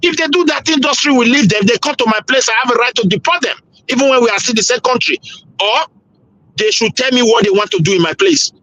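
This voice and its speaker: A man's voice: very high voice, fast talker, sharp tone, poor audio. A Nigerian man with a Nigerian accent in a heated panel debate, insistent, talking over others with stammering restarts.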